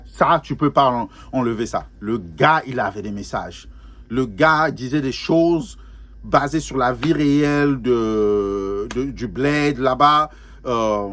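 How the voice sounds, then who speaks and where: A man's voice: medium pitch, fairly bright tone. A man hosting a music reaction video in a casual, chatty way, repeating his phrases, slipping English words into his talk and laughing often. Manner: laughs often while talking. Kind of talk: casual spoken reaction commentary.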